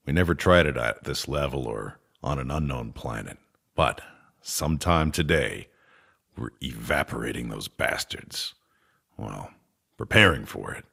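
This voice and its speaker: An actor playing a weary, bitter, irritable man in a sci-fi audio drama, with a rough, muttering delivery. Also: very deep voice, fairly bright tone, full character voice. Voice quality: gravelly, rough.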